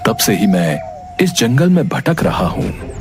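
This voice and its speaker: A man playing a vengeful, gloating sorcerer sadhu in a cartoon story, speaking in theatrical phrases with dramatic pauses. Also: mid-range voice, sing-song, vocal fry, music in the background. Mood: bitter, vengeful.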